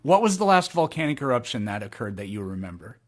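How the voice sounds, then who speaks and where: A man's voice: medium pitch, big pitch swings. 30s guy hosting a podcast, sarcastic, exasperated, arguing with a caller. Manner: exasperated, sarcastic, lists examples rapidly. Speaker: podcast host. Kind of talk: call-in podcast argument.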